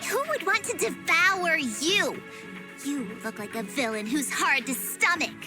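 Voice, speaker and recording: A small young tiger girl with a high, very lively voice. She is a righteous anime game heroine, proud, earnest and declamatory. Music is clearly heard behind her. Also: measured pace. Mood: indignant. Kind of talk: anime-style video game voice acting.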